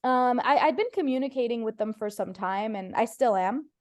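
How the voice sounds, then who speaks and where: Chatty woman guest telling animated stories. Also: a little high, moderate pace. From United States (Ohio). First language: Farsi. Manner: chatty, animated storytelling with playful voiced quotes. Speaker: guest comedian. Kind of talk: casual podcast interview.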